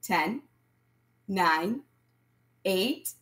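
A woman reading aloud and counting as a primary teacher, addressing young children in an instructional way and pausing for answers. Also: medium pitch, expressive, fairly bright tone. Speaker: primary school math teacher. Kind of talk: children's read-aloud lesson, counting along.

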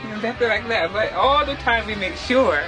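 A middle-aged woman from Barbados, a theatre makeup artist who explains her work step by step in a lively way and laughs often. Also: on the high side, normal speed, over music. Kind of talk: demonstrating work while talking to the camera. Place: small backstage dressing room, indoors.

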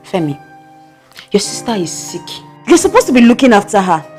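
Actress as a frank, animated Nigerian woman. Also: mid-range voice, brisk, over music, close to an everyday voice. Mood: reproachful. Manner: insistent, reproachful. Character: frank, concerned female friend confronting a man. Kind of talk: film drama dialogue.

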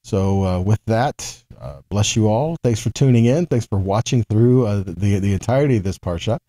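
A man's voice: on the low side, moderate pace, dark tone, no echo. A middle-aged man teaching the Bible informally to camera, conversational and folksy, often checking in with his listeners. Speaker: Bible teacher and ministry host.

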